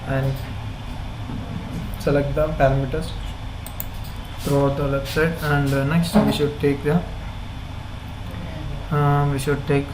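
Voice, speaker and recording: A man with an Indian accent giving a halting, step-by-step software tutorial as an instructor, with repetitive instructions. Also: a little high, little pitch movement. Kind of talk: step-by-step screen tutorial narration. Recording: noisy, echoey room recording.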